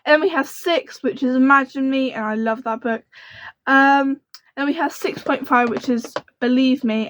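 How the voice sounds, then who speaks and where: A young woman who speaks in a soft, quiet voice, chatting to camera about books in a rambling, gushing way. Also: high-pitched, no echo. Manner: rambling and gushing, full of fillers. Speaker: book vlogger. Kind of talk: casual book chat to camera.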